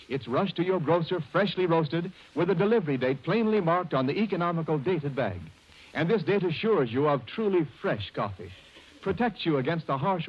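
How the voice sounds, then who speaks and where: A man's voice: a little high, husky. A man announcing a 1930s radio advertisement in an emphatic, salesmanlike way. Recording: dull vintage radio recording.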